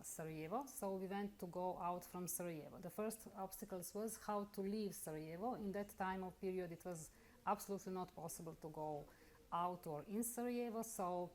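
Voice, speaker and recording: A middle-aged woman with a Bosnian accent, carefully telling her own story in halting English during an interview. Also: lowish voice, a little mellow. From Bosnia and Herzegovina. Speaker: interviewee, lawyer. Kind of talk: personal account in an interview.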